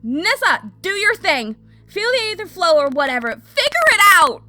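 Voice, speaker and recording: A woman's voice: extremely high pitch, sing-song, normal speed, sharp tone, no room sound, faint music behind, heavily acted. A woman playing a hot-tempered young fire mage in an audio drama, brash, urgent and demanding. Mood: furious, frantic.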